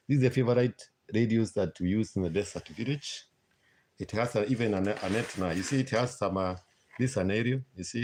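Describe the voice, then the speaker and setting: A Kenyan man chatting casually with viewers on a live stream, pausing often on "uh" and repeating himself. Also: fairly low pitch, darkish tone. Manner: hesitant, frequent "uh" fillers, repeats himself.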